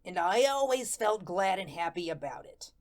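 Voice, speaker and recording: A woman's voice: lowish voice, expressive, moderate pace, lightly acted. Woman playing a naive, slangy good-natured ghost fellow. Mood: wistful, earnest. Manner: folksy, colloquial, guileless storytelling. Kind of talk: first-person radio-play narration.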